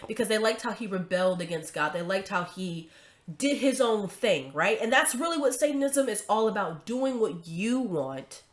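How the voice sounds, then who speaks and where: A woman's voice: medium pitch, lively intonation, moderate pace. Stuffy-nosed woman host chatting. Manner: chatty, emphatic, tag questions. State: stuffy from a cold. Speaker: commentary video host. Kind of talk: casual commentary to camera.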